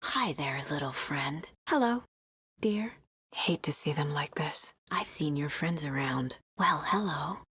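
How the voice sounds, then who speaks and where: A determined female FBI agent from a video game drama. She speaks in an unhurried, measured way with intense focus. The sound is very dry and close, with no room around it. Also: low-pitched, lively intonation, fairly bright tone, slightly rough, no echo, heavily acted. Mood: soft, tender.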